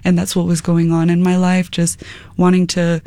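Young american singer, soft-spoken, lots of fillers, mid pitch, dry close sound. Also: monotone, normal speed, dry recording. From United States. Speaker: musician guest. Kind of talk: casual interview.

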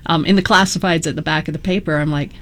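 50s woman panel guest, slightly low voice, casual storytelling. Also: steady tone, fast.

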